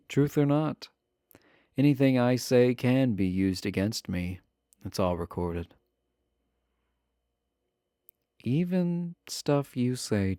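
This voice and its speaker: A man acts out a charming villain behind bars for an intimate audio roleplay. His voice is lowish and gravelly with plenty of fry, and he draws his words out slowly. He comes across sly, coy and flirtatious. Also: dark tone, dry recording, clean studio sound, full character voice. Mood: flirty, teasing. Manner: coy, flirtatious, drawn-out casual delivery. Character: charming, teasing male villain prisoner, adorkable and sly.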